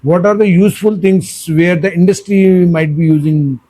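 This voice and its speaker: Husky high-voiced man, formal. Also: steady tone, mellow, poor audio. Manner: measured, formal, checks his understanding. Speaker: guest, the host's father. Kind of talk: reading aloud and asking questions.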